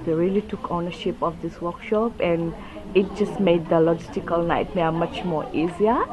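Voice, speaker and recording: A woman's voice: on the low side, steady tone, normal speed, low-quality recording. An upbeat woman giving a casual, enthusiastic interview as an event organiser. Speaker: workshop organiser being interviewed. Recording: crowd chatter behind the voice. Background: crowd chatter.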